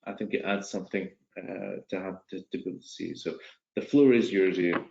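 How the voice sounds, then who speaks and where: A man hosts a live online webinar and introduces his guest. His low voice sounds roomy and dull through the call audio. He talks hesitantly, with many fillers and restarts. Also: a little fast, gravelly, darkish tone. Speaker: webinar host. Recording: echoey lo-fi video call audio.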